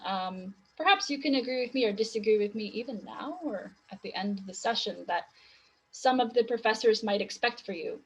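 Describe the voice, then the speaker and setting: A woman's voice: mid-range voice, husky, rough recording. A woman writing tutor, losing her voice, explaining in long run-on sentences full of rhetorical questions. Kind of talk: conversational teaching talk.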